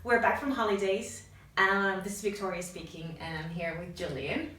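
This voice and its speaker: A woman from Spain with a Spanish accent, co-hosting a podcast in casual, energetic chat and laughing often. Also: mid-range voice, normal speed. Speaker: podcast co-host. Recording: echoey room, mic at a distance.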